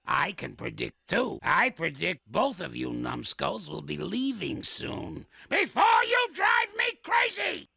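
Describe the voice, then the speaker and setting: An actor playing a grumpy, bossy elderly male ape in a cartoon series, scolding and calling others names with a creaky, gravelly old voice. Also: a little high, huge pitch swings, slow, hardly any pauses, no echo, clean studio sound, exaggerated voice. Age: older. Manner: grumbling, scolding, name-calling. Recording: music loud behind the voice.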